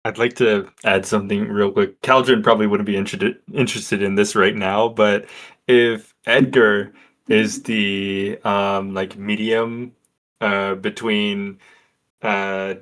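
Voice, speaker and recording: A man with a deep voice roleplaying at a tabletop game. He lays out his argument in a measured way. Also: little pitch movement, a bit bright. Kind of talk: in-character debate.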